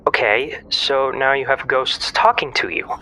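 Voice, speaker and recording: An actor voices a flippant crewmate guiding a partner over comms in a sci-fi audio drama. The voice is bright and forward, and the delivery is breezy, casual and teasing. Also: medium pitch, measured pace, a little hiss or hum, rough recording. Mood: dry.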